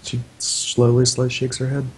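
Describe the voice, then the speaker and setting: A man's voice: medium pitch, completely flat delivery, moderate pace, husky, rough recording. A man narrating a tabletop game as its game master, often hesitating and trailing off mid-sentence.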